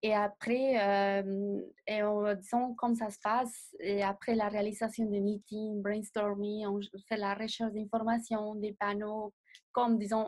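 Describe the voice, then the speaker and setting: A young woman, not a native speaker of the language, answering interview questions as a designer in a measured way and often pausing to find words. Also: medium pitch. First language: not French. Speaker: designer guest.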